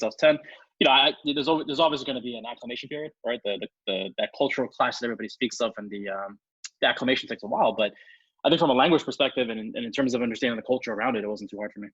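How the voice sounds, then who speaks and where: A man from Ethiopia, a guest on an interview podcast, talking quickly and casually. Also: medium pitch, fairly bright tone, breathy edge, no room sound, dull audio. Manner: fast, fluent talker with many fillers and restarts.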